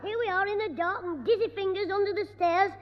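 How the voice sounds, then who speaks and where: Cheeky schoolboy, very high piping voice, bossy, old echoey radio sound. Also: male voice, steady tone, fast, a little hiss or hum. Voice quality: piping boyish voice. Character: cheeky scheming small schoolboy. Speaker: star of the show. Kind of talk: 1960s radio sitcom acting. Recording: echoey old radio studio recording, dull.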